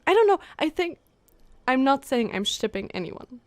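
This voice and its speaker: A woman's voice: high voice, normal speed, dry recording. A young woman streamer giving casual, gushing live commentary on a video game. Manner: excitable, gushing, giggly. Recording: game music behind the voice.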